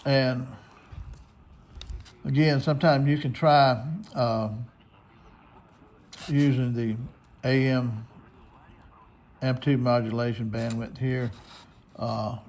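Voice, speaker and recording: A man's voice: medium pitch, fairly even intonation, slow pace, pauses often, a little mellow. An American man giving a casual, unscripted tutorial, explaining things step by step. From United States. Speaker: shortwave radio hobbyist host. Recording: clear radio static and hiss.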